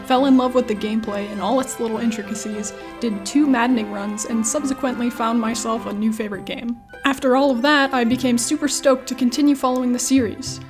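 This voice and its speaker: High-voiced woman giving video commentary. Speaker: video essayist, YouTube host.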